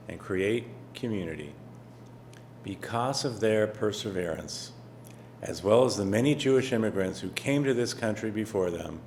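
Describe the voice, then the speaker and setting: This is a man delivering a formal commemorative speech in a lowish voice. He speaks in a measured, rhetorical way and builds on repeated phrases. A faint hum sits under the recording. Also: a little mellow. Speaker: official speaker.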